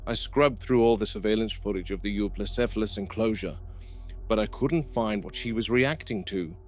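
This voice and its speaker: An actor playing a wary, weary male game warden at a dinosaur park, narrating a horror audio log in a measured, grave way. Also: on the low side, faint music behind, natural voice. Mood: grim, analytical. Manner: measured, grave, deliberate field commentary. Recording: noisy VHS-style field recording with outdoor ambience.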